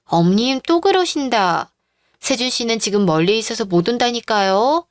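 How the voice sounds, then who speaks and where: A woman's voice: a little high, animated, normal speed, no room sound, studio quality, natural voice. A woman playing a devoted, patient middle-aged daughter-in-law and mother in a radio-drama style story. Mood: patient, hurt.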